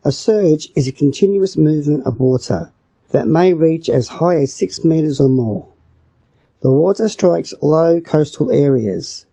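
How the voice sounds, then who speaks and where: A man's voice: fairly high pitch, vocal fry, dark tone, breathy edge, dry recording. A man reading scripted lesson passages aloud on a dull, lo-fi recording. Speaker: reader of English lesson passages. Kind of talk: scripted narration, read aloud.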